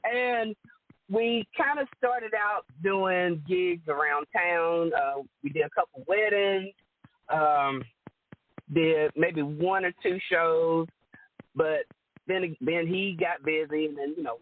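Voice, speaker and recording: A woman with a deep alto voice, chatting casually as a guest and laughing often. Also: slow, dry recording. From Rome, Georgia, US. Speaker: guest singer and entertainer. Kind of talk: casual chat. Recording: dry phone-line call audio.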